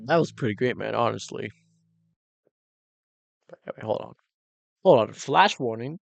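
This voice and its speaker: A man's voice: mid-range voice, lively intonation, a little slow, gravelly, no room sound, faint music behind. A man giving casual, exclamatory reaction commentary as a cinematographer and host, thinking aloud informally while explaining technique.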